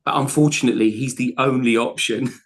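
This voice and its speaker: A man, in the middle of a fan podcast chat. He talks at a mid pitch in long, heartfelt runs. He often stops and restarts his sentences as he builds his point. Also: steady tone, fairly quick. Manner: passionate, rambling, self-correcting restarts. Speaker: podcast co-host. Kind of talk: casual football podcast chat.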